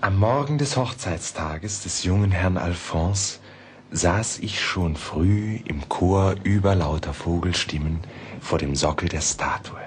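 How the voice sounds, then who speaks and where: An actor playing a cultured, reflective gentleman artist in a literary radio play, speaking in a measured, polite, contemplative manner. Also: low-pitched, slight background noise. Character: cultured, reflective Parisian gentleman sketching and writing letters. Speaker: narrator and character.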